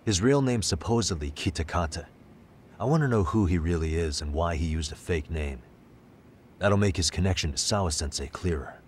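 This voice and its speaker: An American man playing a sharp, composed detective in a game cutscene, speaking in a measured, deliberate way. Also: sits low, animated, a little hiss or hum. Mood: serious, analytical. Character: sharp, composed male detective investigating a case. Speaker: video game voice actor. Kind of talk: English dub game cutscene dialogue.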